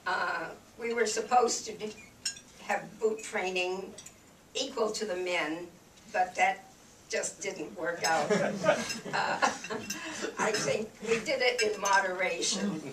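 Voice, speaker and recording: A woman in her eighties from New Hampshire, a veteran telling her wartime memories to an audience, slightly croaky from a cold, wry and unhurried. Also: mid-range voice, noisy background. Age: 80s. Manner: slow, wry reminiscing with soft laughs and fillers. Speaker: veteran guest speaker. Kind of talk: personal war memories told to an audience. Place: room with an audience. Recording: echoey room from far off with clattering dishes.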